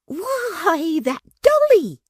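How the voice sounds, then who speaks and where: Shrill, affected young maidservant: sly gossip, falsetto, swooping and slow, dry audiobook read. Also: male voice, huge pitch swings, darkish tone, dry recording, full character voice. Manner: gushing, mock-breathless, drawn-out exclamations. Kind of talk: audiobook character voice.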